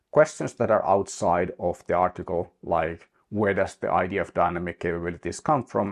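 Male instructor, very low voice, halting delivery, tutorial walkthrough. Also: darkish tone. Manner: hesitant, many restarts and uh fillers. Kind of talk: screen-share tutorial.

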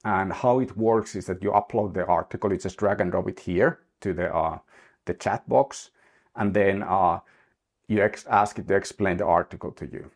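A man's voice: sits low, normal speed. A man giving a screen-share tutorial as a university instructor, talking in a hesitant way with many restarts and fillers.

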